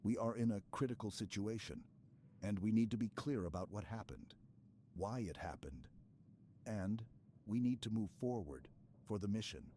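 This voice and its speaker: A man playing a stoic, earnest super-soldier in an intimate sci-fi ASMR roleplay, speaking in a halting, hushed way with dramatic pauses. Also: lowish voice, a little hiss or hum, close to an everyday voice. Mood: earnest, apologetic.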